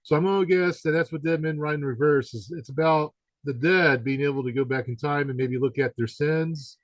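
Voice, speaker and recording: A man's voice: fairly high pitch, brisk, no room sound, poor audio. Man interviewing in a dry, close sound. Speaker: podcast interviewer. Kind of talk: conversational album interview.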